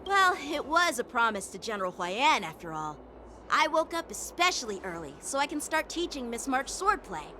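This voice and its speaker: A woman's voice: on the high side, very animated, pushed and bright, a little hiss or hum. An actress voicing an earnest, bashful teenage boy swordsman in a game, speaking politely and a little formally. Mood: polite, proud. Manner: polite, formal, slightly halting. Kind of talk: game cutscene acting. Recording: prominent music behind the voice.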